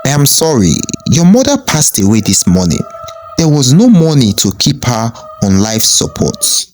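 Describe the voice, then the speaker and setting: A woman's voice: rumbling low, sing-song, normal speed, darkish tone, a little hiss or hum, voice pushed down. A woman voicing a somber male doctor in a storybook tale. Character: grave male doctor, matter-of-fact.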